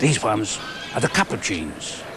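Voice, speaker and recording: A man's voice: medium pitch, big pitch swings, unhurried, creaky. A man voicing Lorenzo, a cheeky old male llama from South America who speaks broken English and chuckles as he gossips. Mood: chatty. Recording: noisy recording.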